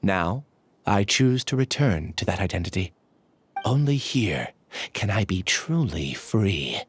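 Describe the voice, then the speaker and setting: A calculating video game character devoted to a collective mind. His delivery is deliberate and theatrical, and the pitch sweeps widely through each confession-like speech. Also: very animated, slightly rough, full character voice. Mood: resolved confession. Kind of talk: scripted video game voice acting.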